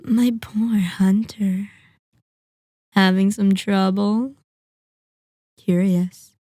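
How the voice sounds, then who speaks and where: A possessive female vampire lord, acted by a woman, speaks in a soft, mellow tone. She draws out her words slowly with mock courtesy and teasing menace. The ASMR roleplay sounds very close and dry. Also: mid-range voice, fairly even intonation, no room sound. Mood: covetous, insistent, then mocking sweetness. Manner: silky, teasing, mock-polite, drawn-out menacing delivery. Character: sadistic, possessive female vampire lord toying with captive hunter.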